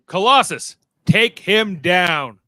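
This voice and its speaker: A man's voice: sits high, expressive, slow pace, sharp tone, no room sound, exaggerated voice. A man playing a commanding heroic team leader in a radio theatre read, emphatic and urgent as he barks orders.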